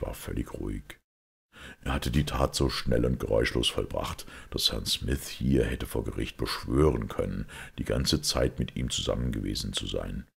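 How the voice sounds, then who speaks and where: A man playing a calm, shrewd priest detective who explains quietly and with compassion, in a measured audiobook reading. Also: rumbling low, gravelly, breathy edge, heavily acted.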